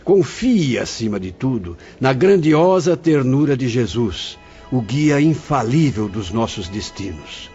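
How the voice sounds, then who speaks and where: An actor playing a calm, fatherly spirit director who explains things solemnly, in a radio drama. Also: medium pitch, unhurried, soft background music. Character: venerable male spirit director, gentle and paternal. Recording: dull lo-fi audio.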